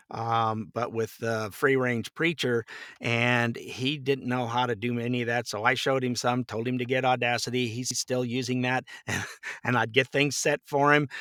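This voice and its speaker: A middle-aged or older man telling stories casually as a podcast guest, chatty and quick to chuckle, acting out bits of dialogue. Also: mid-range voice, a bit bright, no echo, studio quality. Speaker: podcast guest, voice actor and director. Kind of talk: casual conversational storytelling.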